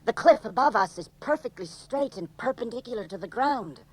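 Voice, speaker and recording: A woman's voice: on the high side, lively intonation, fairly quick. An actress playing an anxious, questioning woman on an alien expedition, in a dramatic 1980s radio drama style. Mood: wary. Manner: dramatic, theatrical. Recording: noisy radio drama recording.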